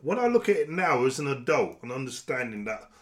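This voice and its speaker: Deep-voiced guy, earnest, firm, talks things through candidly. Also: big pitch swings, brisk. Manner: earnest, firm, rises when pressing a point. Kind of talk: candid conversation.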